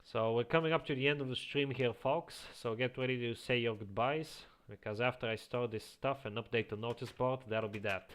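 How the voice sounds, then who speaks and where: A man's voice: medium pitch, brisk, hardly any pauses, smooth. Man with a slight Eastern European accent. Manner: reads chat aloud, chuckles often, relaxed. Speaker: streamer. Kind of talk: casual live-stream chat while playing. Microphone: quiet home microphone.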